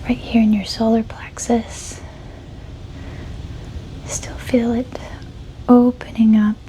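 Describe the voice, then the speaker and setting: A woman leading a guided meditation in a soft-spoken ASMR style, gentle and unhurried, with a breathy voice that often drops close to a whisper. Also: a little high, little pitch movement, low-quality recording. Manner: gentle, unhurried, soothing instruction.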